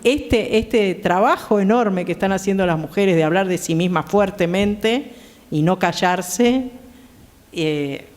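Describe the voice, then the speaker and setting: A woman's voice: mid-range voice, takes it slowly, a little mellow. A middle-aged woman with an Argentine accent, talking conversationally as a guest on a theatre panel. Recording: reverberant theatre hall.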